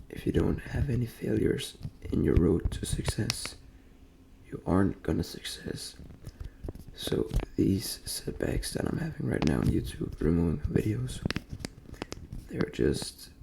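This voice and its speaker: A young man from Denmark speaking soft, breathy ASMR in a gentle near-whisper, repeating phrases as he talks in a personal, motivational way. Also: fairly low pitch, background noise. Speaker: ASMR creator and host.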